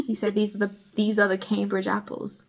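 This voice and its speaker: A young woman with a South African accent talks in a casual, conversational way. Her voice is of middling pitch. She is a friend joining in on a vlog. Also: completely flat delivery, a little fast, vocal fry. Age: young adult. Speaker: vlogger's friend and guest. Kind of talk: casual chat. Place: apartment.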